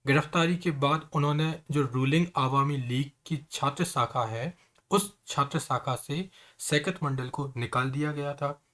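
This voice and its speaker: A man's voice: fairly high pitch, measured pace, fairly bright tone. A man hosting an online news explainer, talking straight to viewers in an earnest, conversational way. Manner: earnest, repeatedly addresses viewers as friends.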